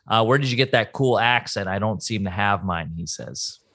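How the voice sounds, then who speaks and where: A man's voice: fairly low pitch, lively intonation, normal speed, fairly bright tone, no echo. A man hosting a podcast, easygoing, reading listener questions and wrapping up the show. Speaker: podcast host. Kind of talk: casual chat and show outro.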